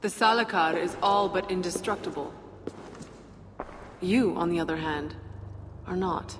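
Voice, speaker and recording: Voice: mid-range voice, unhurried, a bit bright, lightly acted. A performer playing a cool, dry female fire nymph in a fantasy video game, speaking formally in clipped, wry phrases. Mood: cool, serious. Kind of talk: video game cutscene acting. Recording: long reverb, some background noise.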